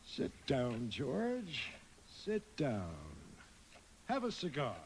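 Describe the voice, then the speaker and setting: A man's voice: mid-range voice, wildly swooping pitch, very slow, slight background noise, exaggerated voice. A man playing a scheming, imperious older banker in a radio drama, pompous and domineering as he persuades. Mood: smug, baiting. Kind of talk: live radio drama acting.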